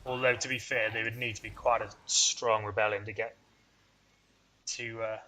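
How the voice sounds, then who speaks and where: A man's voice: fairly low pitch, flat delivery, normal speed, sharp tone, low-quality recording. A man hosting a strategy game let's play, rambling and thinking aloud in a casual, self-deprecating way. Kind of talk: casual gameplay commentary.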